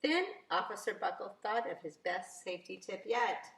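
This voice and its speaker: A woman reading a picture book aloud to young children as a teacher, very expressively, with drawn-out emphasis. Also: mid-range voice, expressive, creaky, a bit bright, a little hiss or hum. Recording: roomy, echoing sound.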